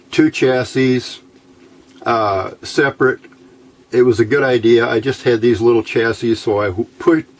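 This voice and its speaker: A man's voice: mid-range voice, darkish tone. A man giving a casual, unscripted hands-on demonstration, explaining step by step in a plain, folksy way. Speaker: hobbyist presenter demonstrating electronics test equipment.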